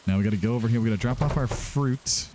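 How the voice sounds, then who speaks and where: A man's voice: medium pitch, quick pace, background noise. A man doing casual let's-play commentary as a gaming host, chatting to himself and to the game's characters. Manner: talks to himself and to game characters.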